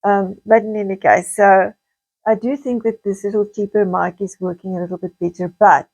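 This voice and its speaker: A South African woman vlogger talks in a medium-pitched, slightly croaky voice. She chats casually and rambles, often repeating words and wandering off into asides before returning to her point. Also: fairly even intonation, moderate pace, darkish tone, muffled recording. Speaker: video blogger. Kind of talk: casual chatty vlog monologue.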